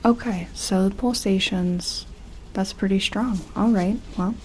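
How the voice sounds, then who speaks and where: A woman doing an ASMR medical roleplay as a gentle doctor, soft-spoken and slipping into whispers, with tentative, reassuring phrasing. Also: mid-range voice, steady tone, measured pace, a little hiss or hum, low-quality recording. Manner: gentle, hesitant, reassuring clinician talk. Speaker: ASMR performer playing a doctor examining an alien.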